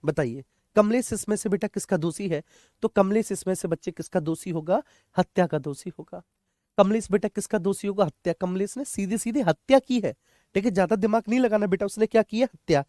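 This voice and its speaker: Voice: medium pitch, brisk, darkish tone, no room sound, clean studio sound. An exam coaching teacher, lecturing students familiarly, repeating key points and often checking that they understand. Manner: addresses students familiarly as children.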